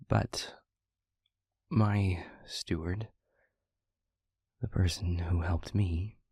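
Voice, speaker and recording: A reflective, quietly amused demon mentor, acted by a man, tells his story in a roleplay audio. His voice is low and very breathy, with a gravelly edge, and he speaks softly and haltingly into a close mic. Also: no echo, exaggerated voice. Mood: wistful, confiding. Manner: hushed, halting, unhurried, confiding. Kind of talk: intimate roleplay audio monologue, storytelling to a listener. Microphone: close microphone, dry.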